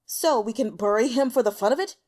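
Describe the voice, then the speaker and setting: A woman's voice: fairly high pitch, a little fast, darkish tone, natural voice. A woman voicing a young male emperor who is irritable and flippant, with a bored, scornful, imperious manner. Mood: scornful, irate.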